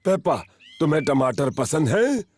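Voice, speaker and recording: A man's voice: fairly high pitch, sing-song, a little slow. A man voicing a jolly, boastful, doting old grandfather pig in a children's cartoon dub, hearty and coaxing. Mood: cheerful. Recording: music under the voice.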